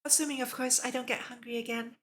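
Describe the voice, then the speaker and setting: Bright, high-pitched woman streamer. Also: fast talker. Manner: giggly, expressive, exclaims in reaction to the text. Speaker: VTuber streamer hosting a visual novel read-along. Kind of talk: casual livestream chat mixed with reading aloud.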